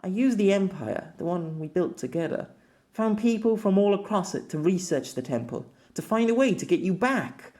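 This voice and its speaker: Figure: grieving empress, solemn and tender, read by a man. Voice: fairly high for a man, audiobook storytelling. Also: lively intonation, normal speed, warm tone. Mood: solemn farewell. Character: grieving empress writing a farewell letter.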